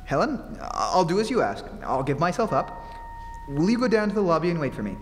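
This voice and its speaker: Guy doing a desperate haunted man on the run, old radio horror, highish voice swinging around, hissy hall. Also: big pitch swings, fairly quick. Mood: uneasy. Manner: melodramatic, stammering. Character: haunted, desperate fugitive man fearing an invisible being. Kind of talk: old-time radio horror acting on stage. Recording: hollow hall recording with hiss.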